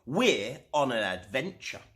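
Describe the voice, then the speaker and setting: Man playing a wistful, expressive storybook bus driver. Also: mid-range voice, takes it slowly. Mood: cheerful. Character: wistful, good-hearted male bus driver craving adventure.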